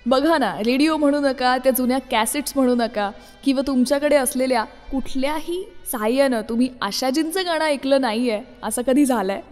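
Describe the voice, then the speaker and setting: A woman radio jockey speaks. She presents from a script, with long reverb on her voice and soft music playing behind. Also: high-pitched. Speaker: radio show host, RJ.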